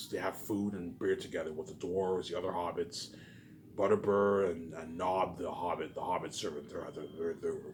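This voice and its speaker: A man talking in a casual, rambling monologue, halting and repeating himself, often swearing and tagging statements with "obviously". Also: deep voice. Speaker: solo video host. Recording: noticeable background noise.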